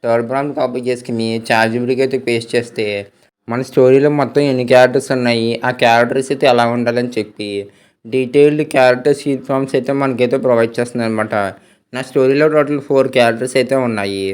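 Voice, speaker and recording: A man's voice: medium pitch, fairly even intonation, racing, clean tone. A man hosting a step-by-step tech tutorial in voice-over, mixing English tech terms into his speech.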